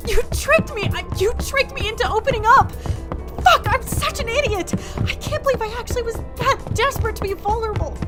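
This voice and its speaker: High-pitched, frantic young woman. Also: brisk, loud music behind the voice. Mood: panicked. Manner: frantic, stammering, sweary. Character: panicked, stubborn, lonely young agent trapped in a game. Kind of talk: audio drama acting.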